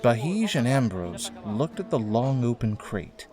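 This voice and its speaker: A man's voice: mid-range voice, big pitch swings, measured pace, a little mellow. A man reading scripted narration for an audio drama, in a storytelling style. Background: faint music.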